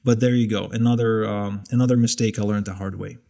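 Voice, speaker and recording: A man's voice: on the low side, fairly even intonation, fairly quick, vocal fry, dark tone. A man in his thirties in a casual interview, talking candidly and poking fun at his own past mistakes. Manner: candid, self-deprecating. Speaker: interviewee sharing business mistakes. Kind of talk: casual conversation.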